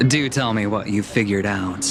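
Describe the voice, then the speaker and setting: An actor voicing a cocky, carefree gambler with a playful, teasing manner, in a game scene with loud music behind him. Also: male voice, fairly low pitch, normal speed, heavy background noise, close to an everyday voice. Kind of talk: game voice acting.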